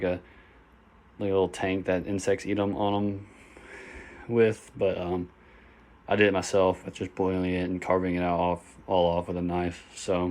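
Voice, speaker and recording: A young man, hoarse, talking casually and swearing as he shows his gaming setup in a phone-recorded vlog. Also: low voice, a little mellow. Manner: casual, swears often, lots of fillers. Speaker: gaming vlogger. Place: bedroom at home. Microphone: phone camera.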